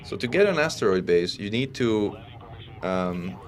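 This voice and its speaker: A man's voice: lowish voice, unhurried. A man from Italy with a faint Italian accent, chatting casually while streaming a space game and reading viewers' messages. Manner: relaxed, chatty, reads chat aloud. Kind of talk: casual gameplay stream chat. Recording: steady background noise.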